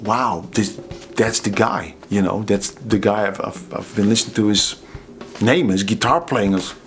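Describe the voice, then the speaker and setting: A man's voice: on the low side, a little slow, soft background music. Chatty Dutch-accented middle-aged man. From Netherlands. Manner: chatty, anecdotal, laughs at his own stories. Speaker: drummer. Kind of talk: casual storytelling to camera.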